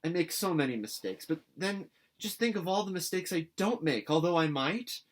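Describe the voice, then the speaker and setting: A man voicing an excitable, dramatic young orphan girl in a storybook reading, gushing and pleading earnestly. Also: fairly high pitch, normal speed, pitched above the performer's own voice. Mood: repentant, earnest.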